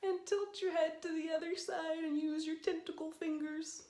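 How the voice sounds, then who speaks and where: A woman hosting storytime for young children, speaking in a playful, expressive, simple way as she guides and reads aloud. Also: extremely high pitch, moderate pace, reverberant.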